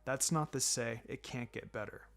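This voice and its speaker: A man voicing a laid-back adult male ninja mentor in a fan fiction reading, wry and gently sombre, speaking in a measured, low-key way. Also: vocal fry. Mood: gentle advice. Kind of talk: fan fiction audiobook character acting.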